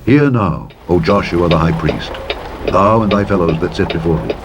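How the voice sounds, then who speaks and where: Low-voiced man solemnly reading scripture over engine noise. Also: expressive, moderate pace. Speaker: scripture narrator. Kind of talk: solemn Bible reading, King James English. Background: truck driving sounds.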